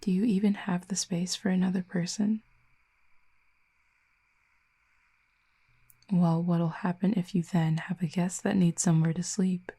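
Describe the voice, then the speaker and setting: Tender but guarded female Cupid played by a woman, intimate roleplay, low and gravelly-soft, measured formal phrasing. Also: flat delivery. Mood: incredulous. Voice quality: soft, husky, breathy. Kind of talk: intimate audio roleplay acting.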